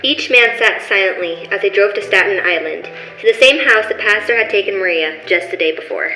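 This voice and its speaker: A woman's voice: medium pitch, brisk. A woman narrating a scripted story in the style of an old-time radio play. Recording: echoey room, faint music.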